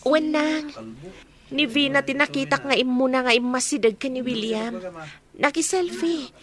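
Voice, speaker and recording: A woman's voice: high voice, normal speed, crowd noise, lightly acted. A woman with an Ilocano accent playing a wistful, reserved young daughter in a radio drama. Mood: confiding.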